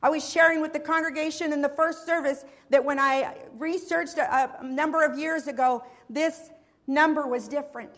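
A woman preaching a sermon in a church, earnest and measured, with a prayer full of repeated invocations. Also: high voice, steady tone, fairly bright tone. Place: church sanctuary.

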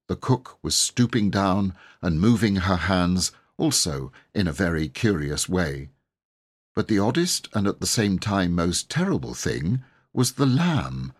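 A man narrating a children's audiobook in a measured, expressive storytelling manner. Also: deep voice, husky.

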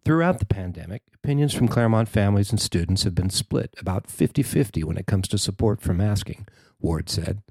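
American man, lowish pitch, scripted news narration, reporter reading copy, dry studio-like sound. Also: animated, a little fast, darkish tone, slightly rough, dry recording. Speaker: reporter reading own written article.

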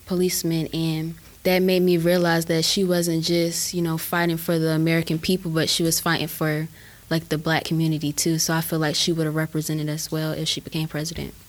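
Low, flat-voiced teen girl. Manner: earnest, many "I feel like" and "like" fillers. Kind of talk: casual discussion.

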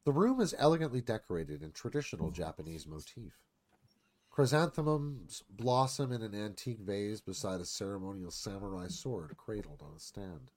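A man's voice: fairly low pitch, animated, moderate pace, a little mellow, a little hiss or hum. A man narrating scene directions in a live screenplay reading.

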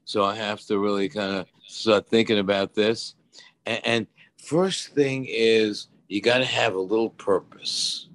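A man's voice: lowish voice, steady tone, unhurried, a bit bright. A man in his seventies giving casual advice on a talk show in a rambling, folksy way. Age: 70s. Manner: rambling, folksy, repeats "you know". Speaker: expert co-host.

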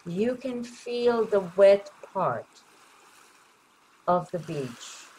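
A woman leading a guided meditation in a gentle, soothing way, leaving long pauses between short phrases. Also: medium pitch, sing-song, slight background noise, low-quality recording.

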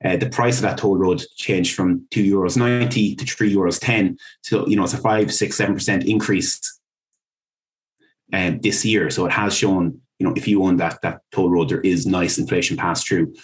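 A man's voice: low-pitched, steady tone, normal speed, poor audio. A man with an Irish accent hosting a finance podcast, asking questions in an easygoing, conversational way. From Ireland. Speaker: podcast host. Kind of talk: conversational interview.

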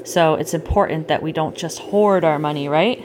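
A middle-aged woman chatting casually with a child at bedtime, gently explaining things and checking in with questions. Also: low voice, normal speed. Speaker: parent hosting a bedtime story podcast. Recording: clearly noisy home recording.

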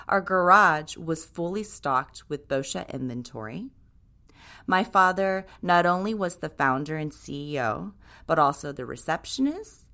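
Upbeat woman hosting a podcast, low voice. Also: fairly bright tone. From Japan. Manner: upbeat, enthusiastic delivery. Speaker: podcast host, brand co-creator. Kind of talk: scripted podcast monologue.

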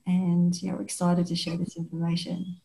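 Australian woman hosting a warm-toned podcast. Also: on the low side, monotone, fairly quick, creaky, low-quality recording. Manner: thoughtful, restarts sentences, frequent affirming murmurs. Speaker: podcast host. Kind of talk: casual interview chat.

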